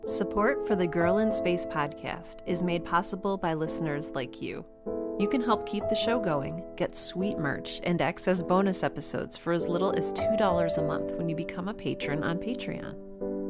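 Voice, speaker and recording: A woman podcast host reads scripted credits. Her voice is on the low side, friendly and casual, with clear music underneath.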